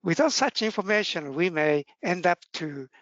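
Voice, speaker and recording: A man from Japan with a Japanese accent, giving a webinar talk in a halting, self-deprecating way with many fillers. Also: high voice, animated, fairly bright tone, dry recording. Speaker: neurologist presenter. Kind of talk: webinar presentation. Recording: muffled dry webinar audio.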